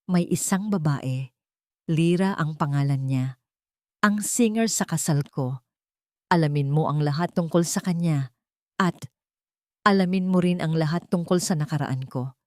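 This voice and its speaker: A woman voicing a troubled young man who speaks low and urgently, pressing for answers. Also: unhurried, pauses often, darkish tone, no room sound, studio quality. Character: troubled young adult man seeking truth.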